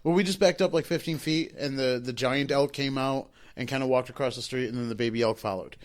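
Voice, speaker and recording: A man's voice: mid-range voice, brisk. Casual man telling a story. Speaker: comedy podcast co-host.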